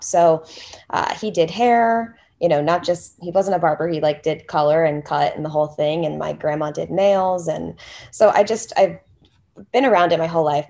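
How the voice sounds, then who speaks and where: Chatty low-voiced woman salon owner. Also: vocal fry, a little mellow. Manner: rambling, chatty, lots of fillers and restarts. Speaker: salon owner and hairstylist guest. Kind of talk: casual podcast interview.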